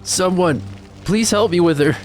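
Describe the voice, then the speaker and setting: A man's voice: high-pitched, a little slow, faint music behind. A man playing a strained, earnest teenage boy pleading for help in an audio drama.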